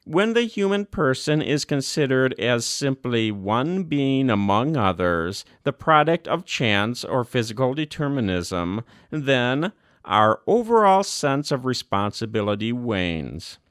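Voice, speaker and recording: Man, scripted formal narration, slow pace, widely varied intonation, deliberate pauses. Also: mid-range voice, very animated, a bit bright, smooth, no room sound, clean studio sound. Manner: measured, deliberate phrasing with emphatic pauses. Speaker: volunteer audiobook narrator.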